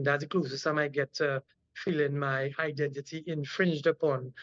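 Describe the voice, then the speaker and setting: A man from Trinidad with a Trinidadian accent, explaining as an interview guest and often pausing mid-sentence, on lo-fi audio. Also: fairly high pitch, fairly bright tone, no echo. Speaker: interview guest, cultural activist. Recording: dull, dry lo-fi audio.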